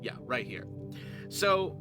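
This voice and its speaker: A man's voice: fairly high pitch, very animated, slow. A man hosting a gameplay series with casual, rambling, informal commentary. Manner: informal, rambling, occasionally profane. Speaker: roleplay series creator and host, voice actor. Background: music.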